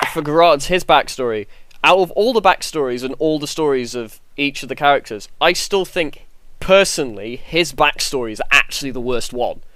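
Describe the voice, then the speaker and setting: A man's voice: mid-range voice, fairly bright tone, dry recording. A man hosting a podcast, talking through his opinions in a casual, conversational way. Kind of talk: casual chat, discussing opinions.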